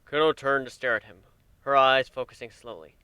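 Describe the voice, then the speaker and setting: A man narrating a dramatic reading of fan fiction in an expressive, measured storytelling manner. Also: medium pitch, clear, resonant tone, dry recording.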